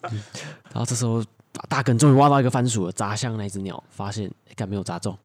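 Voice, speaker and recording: A man's voice: on the low side, fairly even intonation, fast. A man co-hosting a podcast, casually improvising a story and bantering with friends.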